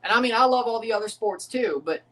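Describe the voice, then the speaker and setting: A man hosts a relaxed livestream reaction, talking in loose, profane rambles. He keeps doubting his own points as he goes. His voice sits a little back from the microphone. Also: high-pitched, quick pace, far from the mic, rough recording. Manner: rambling, profane, second-guessing himself. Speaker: livestream host reacting to a match. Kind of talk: casual livestream reaction chat.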